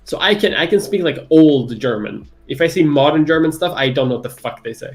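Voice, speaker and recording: A native Swedish speaker co-hosting a casual livestream chat in English, rambling loosely and swearing freely. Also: male voice, on the high side, lively intonation, fairly quick.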